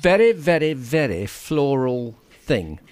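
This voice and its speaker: A man's voice: medium pitch, very animated, slow, darkish tone, slight background noise. A man co-hosting in casual chat, speaking hesitantly with a dry, teasing manner.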